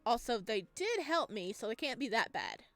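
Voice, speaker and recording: A woman reading a video game's text aloud and chatting with expressive, excited reactions as she plays. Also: fairly high pitch, moderate pace, no echo. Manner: chatty, expressive asides between read lines. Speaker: video game streamer, let's player. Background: game music.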